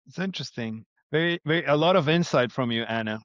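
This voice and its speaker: A man's voice: on the high side, lively intonation, quick pace, fairly bright tone, no echo. Man host on a dull, dry mic. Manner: friendly, encouraging interviewer. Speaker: podcast host. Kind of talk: casual interview chat. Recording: slightly dull, dry recording.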